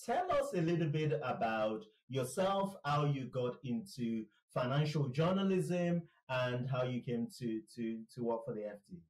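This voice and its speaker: A man in his forties hosting a podcast over a video call, enthusiastic and halting with repeated words. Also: mid-range voice, animated, darkish tone. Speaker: podcast host. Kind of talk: conversational interview. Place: home room with plants, indoors. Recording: echoey room sound.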